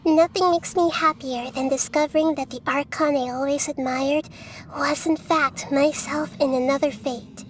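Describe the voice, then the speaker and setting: A woman voicing a small, earnest, thoughtful young child goddess in a video game, soft-spoken and wondering. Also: high voice, fairly even intonation, moderate pace, slight background noise, natural voice. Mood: admiring. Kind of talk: dramatic game voice acting.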